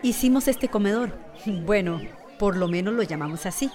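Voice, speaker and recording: Practical, friendly woman, acted, medium pitch. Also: expressive, normal speed, a little mellow, natural voice. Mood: explaining, friendly. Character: welcoming, practical woman shelter organiser. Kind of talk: radio soap opera acting.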